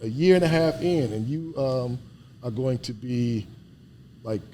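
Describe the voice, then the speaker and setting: A man from Detroit hosting a conversational interview, relaxed and joking, often affirming his guest. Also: medium pitch, unhurried, slight background noise. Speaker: host, interviewer.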